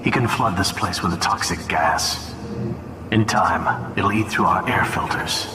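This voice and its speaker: A man's voice: sits low, measured pace, music in the background. An actor playing a dutiful assassin underling in a video game, briefing his master formally. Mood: cautious, dutiful. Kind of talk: video game cutscene acting. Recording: distant, echoey, noisy background.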